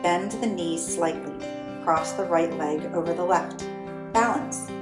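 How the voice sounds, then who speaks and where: A woman reading guided yoga instructions for children in measured short phrases with deliberate pauses. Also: lowish voice. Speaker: storytime host and yoga instructor reading aloud. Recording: roomy echo with plucked guitar music behind.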